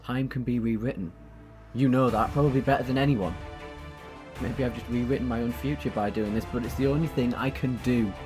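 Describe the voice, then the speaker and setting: A man's voice: medium pitch, fairly quick, over music, lightly acted. A man playing a quirky, compassionate, talkative time-travelling hero in an audio drama, earnest and wry. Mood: resigned.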